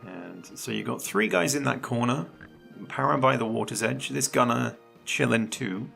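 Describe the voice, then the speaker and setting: A man commentating a video game match live, chatty and analytical, his voice a little croaky. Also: medium pitch, lively intonation, moderate pace, sharp tone, faint music behind. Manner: chatty and analytical, laughs often. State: slightly croaky voice. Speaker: esports commentator.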